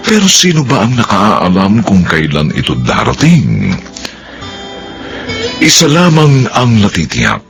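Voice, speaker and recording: A Filipino man narrates a radio drama in a low, gravelly voice. He is solemn and theatrical, swinging dramatically in pitch over background music. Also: huge pitch swings. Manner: solemn, theatrical, deliberate phrasing.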